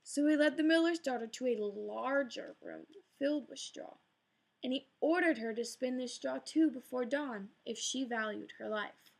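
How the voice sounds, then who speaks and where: A woman narrating a fairy tale in an expressive, gently dramatic read-aloud style. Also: high voice, normal speed, creaky. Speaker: storyteller.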